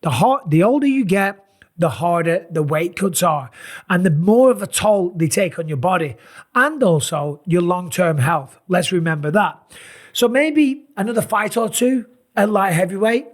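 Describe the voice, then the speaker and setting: Tired, raspy-voiced man talking fights. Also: sits high, normal speed. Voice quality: raspy, sore voice. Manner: animated, emphatic, rambling asides. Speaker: MMA analyst and channel host. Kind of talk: casual fight commentary to camera.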